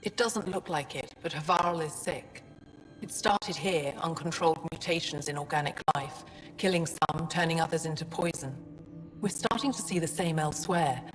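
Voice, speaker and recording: An actress playing a guarded, no-nonsense female alien scientist in a video game, speaking in a measured, frank way. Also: low voice, natural voice. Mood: grave. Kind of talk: video game dialogue acting. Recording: long reverb, music underneath.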